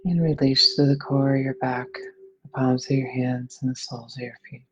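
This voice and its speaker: A person leading a guided meditation in a soothing, unhurried manner. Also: medium pitch, level tone, dull audio, background noise. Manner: soothing, unhurried phrasing with breathy releases.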